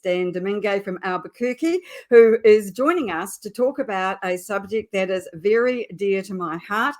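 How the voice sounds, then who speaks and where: A middle-aged woman with a New Zealand accent, hosting a live online business show and opening it with a measured, emphatic scripted introduction. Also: mid-range voice. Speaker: host.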